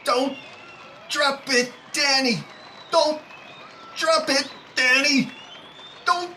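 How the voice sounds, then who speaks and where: A man's voice: very high-pitched, very slow, background noise, full character voice. A man playing a desperate, panicked young killer in 1930s radio drama style, defiant and strained. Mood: dazed, straining.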